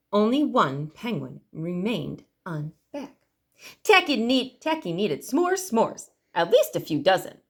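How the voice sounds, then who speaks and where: A woman's voice: lowish voice, slightly rough. A woman reading a picture book aloud to children expressively, stretching key words for emphasis. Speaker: library storytime reader.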